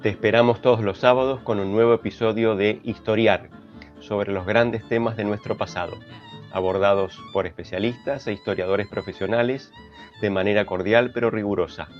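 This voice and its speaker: A man's voice: lowish voice, steady tone, normal speed. A man with an Argentine accent reading a scripted podcast promo as its announcer. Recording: slightly dull, over music. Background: guitar music.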